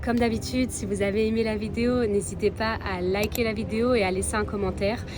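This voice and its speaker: A woman's voice: mid-range voice, fairly even intonation, quick pace, background noise. A woman from Belgium, chatting casually to viewers as a travel vlogger. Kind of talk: casual vlog chat to viewers.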